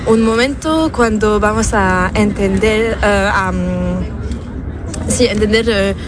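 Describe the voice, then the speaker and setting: Hesitant woman, slow, street noise. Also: medium pitch. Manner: hesitant, searching for words. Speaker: interviewee. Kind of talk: street interview. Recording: outdoor noise.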